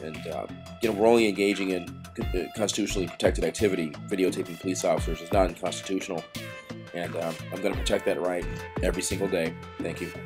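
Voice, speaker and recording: A man's voice: low-pitched, steady tone, quick pace, music in the background. A man speaking as an outspoken activist journalist, wordy, insistent and argumentative. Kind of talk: outspoken video commentary and confrontational phone call.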